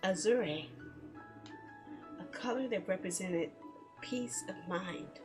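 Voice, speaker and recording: A woman's voice: mid-range voice, takes it slowly, music in the background. A woman streaming a game, chatting casually and reading story text aloud in a playful way. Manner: giggly, playful, chattering to herself. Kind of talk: casual livestream chat with visual novel read-alouds.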